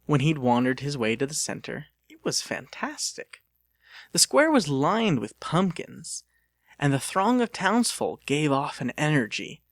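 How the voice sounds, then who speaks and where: A man narrating an audiobook as a dramatic reading, with theatrical, expressive storytelling. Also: on the high side, unhurried, no room sound, clean studio sound.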